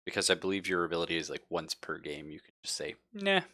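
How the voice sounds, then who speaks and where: Man, game master, lowish pitch, close dry sound, casual, encouraging, meandering phrasing. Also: wildly swooping pitch, fast talker, sharp tone, dry recording. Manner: easygoing, encouraging. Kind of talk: casual live tabletop roleplaying session.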